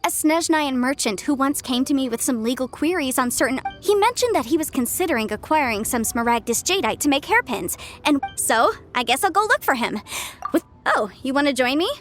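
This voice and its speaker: Game role: breezy, chatty young woman legal advisor, high voice, businesslike and self-assured. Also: lively intonation. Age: young adult. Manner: brisk, articulate, salesy, breezy. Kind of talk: video game voice acting. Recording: soft game music behind.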